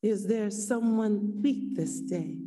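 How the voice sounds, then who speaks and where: A woman preacher delivering an earnest sermon, exhorting her listeners with repeated phrases and emphatic pauses. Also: medium pitch, noisy background. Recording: echoey hall, distant from the mic.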